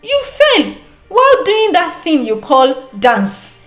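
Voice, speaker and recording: A woman's voice: extremely high pitch, huge pitch swings, slow pace, roomy sound, faint music behind, voice pushed up, full character voice. A woman with a Nigerian accent voicing a conflicted young seamstress who protests firmly and emphatically. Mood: teasing. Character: conflicted young seamstress, firm yet wavering friend.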